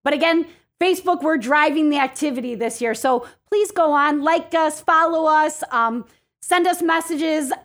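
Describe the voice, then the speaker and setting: A woman's voice: sits high, normal speed. An American woman speaking as an interview guest, chatty and upbeat in a casual promotional style. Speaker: chamber of commerce president, interview guest.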